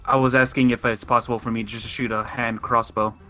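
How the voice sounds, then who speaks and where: A man with a bright, clear-edged voice at an average pitch talks through his moves in a tabletop role-playing game. His delivery is casual chat, and soft music sits behind it. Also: level tone, fast.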